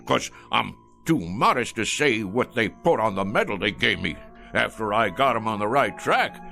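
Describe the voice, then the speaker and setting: A boastful old American gas station owner in a radio drama, with a gravelly, bright voice that swings up and down. He rambles in a folksy way and fumbles for his words, with faint music underneath. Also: lowish voice, expressive, moderate pace, heavily acted. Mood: folksy bragging.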